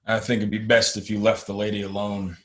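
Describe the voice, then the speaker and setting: A man plays a steely, unflappable stranger in a western radio play. His voice is on the low side, terse and dry, with a mocking edge. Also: completely flat delivery, a little fast, a bit bright, close to an everyday voice. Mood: cool, challenging. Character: calm, steely, mysterious stranger in an Old West saloon. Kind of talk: audio play acting, western.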